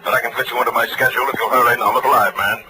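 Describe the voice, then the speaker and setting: An actor playing a pompous, impatient business tycoon who talks briskly and bossily in an old radio drama. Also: male voice, mid-range voice, steady tone, natural voice. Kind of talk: 1940s radio drama acting. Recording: noisy old radio recording.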